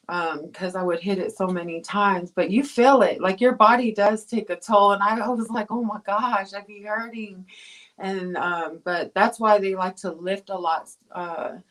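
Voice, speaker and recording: A woman's voice: mid-range voice, fairly even intonation, a little slow, low-quality recording. A woman from Tonga, a guest pro boxer, talking casually in an interview, with rambling phrasing full of fillers and restarts.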